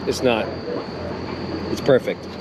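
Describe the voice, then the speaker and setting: A man's voice: slow pace, noisy background. A man chatting casually and playfully on a vlog, slangy and exclamatory while eating. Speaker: vlogger.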